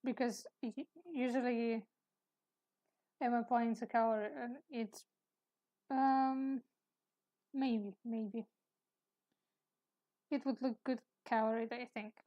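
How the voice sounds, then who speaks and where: A woman with a soft, sleepy voice, chatting hesitantly while she draws on a live stream. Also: fairly high pitch, fairly even intonation, highs cut off. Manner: hesitant, halting, with small laughs. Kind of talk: casual chat while sketching.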